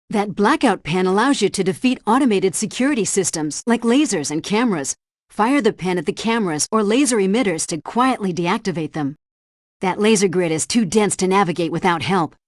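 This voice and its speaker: A woman's voice: medium pitch, a little mellow, no room sound, clean studio sound, close to an everyday voice. A woman playing a composed, helpful spy agency helpdesk operator in a video game, giving scripted instructions in a precise briefing style. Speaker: mission helpdesk guide. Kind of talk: scripted game tutorial narration.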